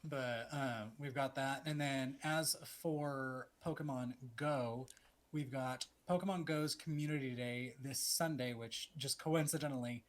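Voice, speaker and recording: A chatty young man in his twenties co-hosts a relaxed podcast. His voice sits at an ordinary middle pitch, and he laughs easily and wanders through fillers as he talks. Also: a little slow. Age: 20s. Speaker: podcast co-host. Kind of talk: casual podcast chat.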